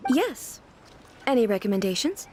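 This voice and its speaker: Poised regal female shogun, lively pitch. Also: slight background noise. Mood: calm, authoritative. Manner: composed, formal, measured. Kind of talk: English video game voice acting. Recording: music behind the voice.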